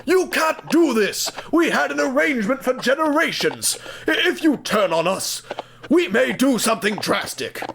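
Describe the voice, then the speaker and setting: A man voicing an old railway truck in an audio drama, squeaky and creaky, indignant and pleading in formal old-fashioned phrasing, over music. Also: sing-song, normal speed, a bit bright, slight background noise, full character voice. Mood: desperate, outraged.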